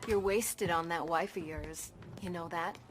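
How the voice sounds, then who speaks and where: A woman's voice: lowish voice, steady tone, measured pace, lightly acted. An actress playing a flirtatious, teasing woman, drawling coquettishly in a crime game.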